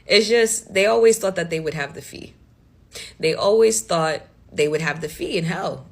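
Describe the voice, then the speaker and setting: A woman giving emphatic, measured commentary. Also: fairly low pitch.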